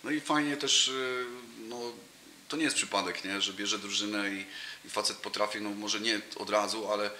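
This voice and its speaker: Brief: man, 30s, low monotone, halting guest on a table mic, echoey noisy room. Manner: halting, many fillers and restarts. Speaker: interview guest, football coach. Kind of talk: casual interview chat. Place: cafe room with brick wall and sofa. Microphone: table mic in front of him.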